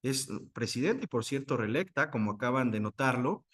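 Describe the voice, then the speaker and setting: A man's voice: mid-range voice, animated, fairly quick. A man with a Mexican Spanish accent hosting a formal interview, reading an introduction and addressing his guest with ceremonious courtesy. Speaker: interview host.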